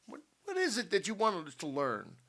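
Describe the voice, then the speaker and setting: A man voicing a frightened, indignant mother in an audiobook story, emphatic and high-strung, stammering when pressed. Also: wildly swooping pitch, moderate pace, creaky, fairly bright tone, heavily acted.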